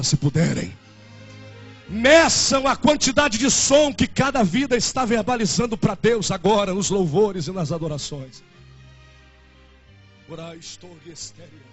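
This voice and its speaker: A man's voice: high voice, lo-fi sound. A young man in his twenties with a Brazilian Portuguese accent, preaching a fervent and emotional sermon as a pastor. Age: 20s.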